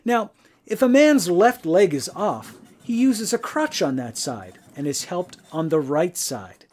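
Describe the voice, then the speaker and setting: A man acting as a calm, shrewd investigator in a radio mystery drama, questioning and explaining in a measured way. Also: high voice, full character voice. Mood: confident, explaining. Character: calm, shrewd male investigator who closes in on culprits.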